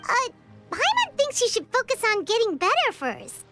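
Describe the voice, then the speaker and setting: A woman voicing a tiny, excitable female fairy companion in a video game, chirpy and easily flustered. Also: exaggerated voice. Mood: curious. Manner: squeaky, fast and flustered, stammering. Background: game music.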